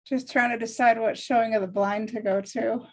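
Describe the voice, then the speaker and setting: A woman's voice: a little high, fairly even intonation, moderate pace. A woman taking part in a script table read, chatting in an upbeat way over a video call. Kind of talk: casual chat.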